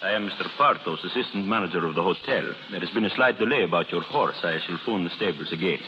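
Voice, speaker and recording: An actor as a deep-voiced, formal hotel manager. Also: male voice, fast talker, natural voice. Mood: deferential. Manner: courteous, deferential, formal. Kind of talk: 1930s radio drama acting. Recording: muffled, noisy 1930s radio broadcast.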